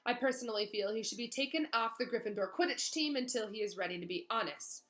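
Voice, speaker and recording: A woman voicing a cold, sneering, insinuating male potions teacher in a storybook, icy and deliberate. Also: a little high, fairly bright tone, lightly acted. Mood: smug insinuating.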